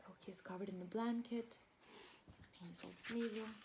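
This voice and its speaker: A woman preschool teacher reading a picture book aloud, encouraging the children and asking them questions with pauses for answers. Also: medium pitch, steady tone, gravelly, background noise.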